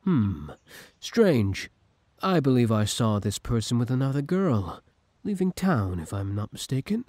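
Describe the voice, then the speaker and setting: Man playing a slow, scheming young villain. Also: medium pitch, very animated, a little mellow, dry recording. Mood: smoothly manipulative. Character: obsessive, scheming young male yandere villain feigning kindness. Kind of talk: ASMR audio roleplay, intimate dramatic acting.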